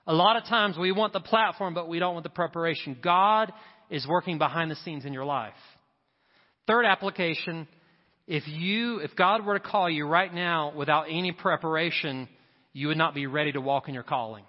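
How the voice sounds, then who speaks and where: A man's voice: fairly high pitch, normal speed. A man preaching a sermon to a congregation, emphatic and repeating his key lines for effect. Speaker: pastor. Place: church sanctuary. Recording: reverberant hall, dull sound. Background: church congregation.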